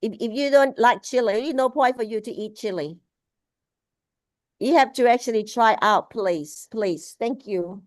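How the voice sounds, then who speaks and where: A woman from Laos giving a casual spoken Buddhist teaching over a video call, halting, with many restarts and fillers. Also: fairly high pitch, no echo, studio quality. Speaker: Buddhist speaker.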